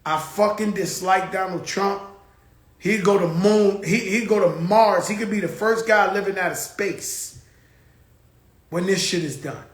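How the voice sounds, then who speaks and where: A man's voice: high-pitched, a little slow, echoey room. A man hosting his own show, talking in a blunt, profane, rambling casual monologue. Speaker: show host.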